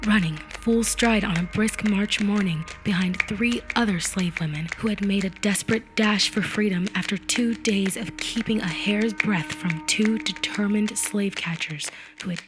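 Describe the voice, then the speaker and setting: A woman narrates a literary audiobook in a deliberate, expressive storytelling manner, with phrase endings that drop to a hush. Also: medium pitch. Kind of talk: dramatic literary audiobook reading. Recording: musical effects mixed under the voice. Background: music and effects.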